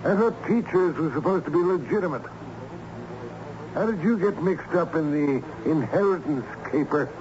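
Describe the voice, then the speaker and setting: Actor as crooked lawyer, muffled old radio. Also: male voice, a little high, noisy background, natural voice. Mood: pressing. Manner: brisk, formal, interrogating. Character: hearty, menacingly polite crooked lawyer. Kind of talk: old-time radio drama acting.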